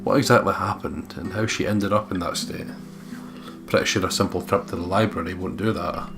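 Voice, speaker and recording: A low-voiced man co-hosts a let's play. He reads story narration aloud and chats casually about the game, with music clearly audible behind him. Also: fairly even intonation, a little fast. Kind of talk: reading visual novel narration aloud with casual commentary.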